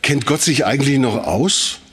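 A man's voice: mid-range voice, animated, moderate pace, a bit bright. Man preaching with rhetorical emphasis. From Sauerland, Germany. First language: German. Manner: rhetorical, emphatic, with repetition and questions. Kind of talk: sermon-like public talk.

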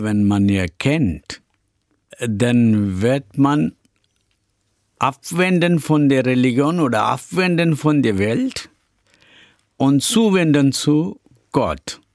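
Middle-aged man with an Indian accent, a pastor; slow and hesitant delivery, gravelly tone. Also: mid-range voice, a little mellow, dry recording, clean studio sound. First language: Tamil. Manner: halting, frequent hesitations and broken grammar. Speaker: pastor and church planter. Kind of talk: interview answers.

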